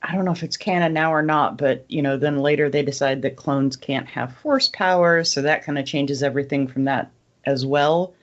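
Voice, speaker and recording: A woman's voice: sits low, moderate pace. A woman chats casually as a panelist on a podcast. Her audio is dull and lo-fi, with a muffled edge to the words. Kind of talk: casual chat.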